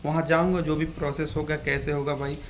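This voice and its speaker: A man's voice: on the high side, flat delivery, brisk. A young man gives casual, rambling, repetitive advice to his viewers as a vlogger, often addressing them as brother. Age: young adult. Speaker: vlogger, police recruit. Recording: clear ambient background noise.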